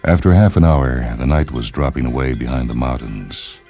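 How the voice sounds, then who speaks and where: Extremely deep-voiced male story narrator. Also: dark tone. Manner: measured, literary storytelling. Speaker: narrator of a short story series. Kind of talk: scripted science fiction story narration. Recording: music behind the voice.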